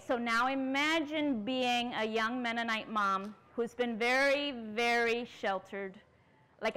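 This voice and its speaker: Woman lecturer, forties, earnest storytelling, slightly high voice, large tent. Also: a bit bright. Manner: earnest storytelling, addresses the audience with questions. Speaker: conference speaker. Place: large tent at an outdoor conference.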